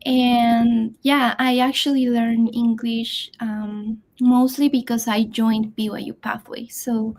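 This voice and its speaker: A woman's voice: a little high, level tone, slow pace, few pauses, darkish tone. A woman who grew up in Bolivia and speaks English as a second language, co-hosting a casual live Q&A in a bubbly, chatty way. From born in Peru, raised in Bolivia. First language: Spanish. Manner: bubbly, chatty, laughs often. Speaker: co-host, marketing staff. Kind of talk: casual livestream Q&A chat.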